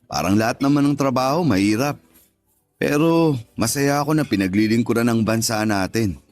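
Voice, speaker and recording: A man's voice: medium pitch, lively intonation, moderate pace, a little mellow, faint music behind, natural voice. An actor playing a kind, easygoing young Filipino male nurse in a radio drama. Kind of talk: Tagalog radio drama acting.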